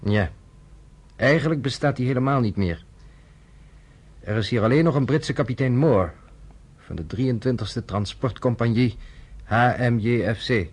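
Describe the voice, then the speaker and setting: A man's voice: medium pitch, normal speed, long pauses, a little mellow, breathy edge, lightly acted. An actor voices a sardonic, probing journalist from a radio drama. He speaks in a plain, mid-range voice. He needles the other person in a teasing way. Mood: matter-of-fact.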